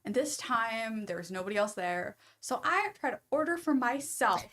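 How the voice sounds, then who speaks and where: Soft-voiced woman telling a story. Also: fairly high pitch, sing-song, normal speed, noisy background. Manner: chatty storytelling. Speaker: guest. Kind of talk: casual podcast chat. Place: local to Sacramento area.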